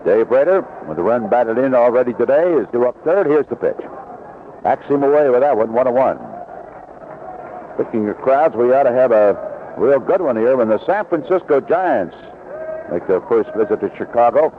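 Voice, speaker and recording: A man does live baseball play-by-play for vintage radio. His medium-pitched voice moves up and down animatedly as he follows the game and reads promos. The broadcast is narrow and tinny, with clear noise around him. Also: animated, normal speed. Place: outdoor ballpark broadcast booth. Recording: band-limited vintage AM radio broadcast, noisy.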